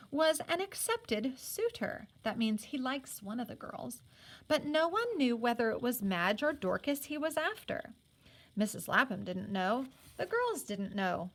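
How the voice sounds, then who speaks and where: A woman with a fairly high voice reads a children's novel aloud. She narrates expressively, in the manner of a teacher reading to a class. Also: a bit bright. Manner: acts out the characters' speech. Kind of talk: expressive storybook read-aloud.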